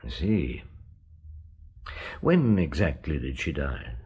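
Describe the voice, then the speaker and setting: An actor playing a middle-aged, shrewd police inspector who also narrates the radio drama, asking calm, measured questions. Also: male voice, extremely low pitch, slightly rough, full character voice. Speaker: narrator and detective.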